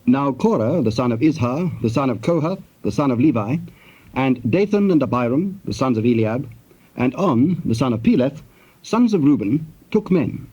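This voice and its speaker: Mellow male scripture narrator on muffled tape. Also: mid-range voice, expressive, husky. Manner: formal, measured storytelling. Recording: muffled, faintly hissy tape.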